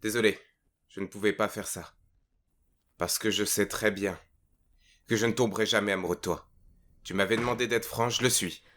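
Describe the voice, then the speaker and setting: A man playing a blunt, exasperated teenage high school boy in a French anime fan dub, expressive and indignant. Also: normal speed, pauses often, close to an everyday voice. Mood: serious, blunt.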